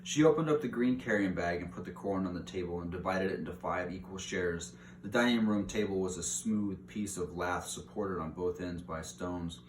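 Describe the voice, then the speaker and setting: A man's voice: low-pitched, normal speed, few pauses, recorded from a distance. A man reading a children's book aloud as narrator. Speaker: story reader. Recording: roomy echo, clear background hiss.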